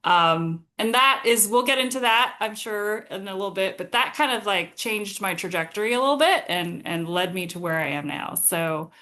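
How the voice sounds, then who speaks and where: Woman in her forties, easy American speech. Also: mid-range voice. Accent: General American. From Singapore. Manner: warm, reflective, laughs often, some hesitations. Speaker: guest, consultancy founder. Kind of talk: conversational interview.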